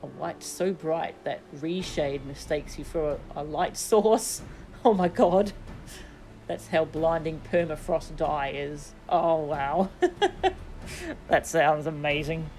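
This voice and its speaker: A woman's voice: low-pitched, lively intonation, takes it slowly, darkish tone, a little hiss or hum. A woman game streamer chatting casually and thinking aloud in short asides as she plays. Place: live stream of a video game.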